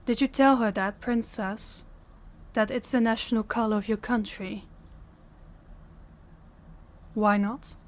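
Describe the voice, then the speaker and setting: A woman's voice: on the high side, steady tone, natural voice. A woman playing a young princess, stern, principled and formal, in an audio roleplay. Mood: stern, commanding. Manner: formal, commanding, measured diction. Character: young princess, stern, authoritative, compassionate, defiant.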